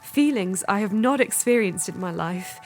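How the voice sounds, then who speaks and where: A woman's voice: medium pitch, animated, close to an everyday voice. Woman as a wistful Victorian lady diarist. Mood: wistful, lovestruck. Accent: upper-class English. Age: young adult. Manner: measured, formal, wistful. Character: romantic young Victorian lady confiding in her diary. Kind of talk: dramatic diary narration. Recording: music under the narration.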